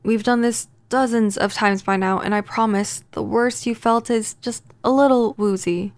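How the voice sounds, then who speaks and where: A woman playing a pining, possessive vampire neighbor in a soft-spoken, intimate ASMR roleplay, gentle and halting. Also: a little high, steady tone, no echo, close to an everyday voice. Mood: nervous, reassuring.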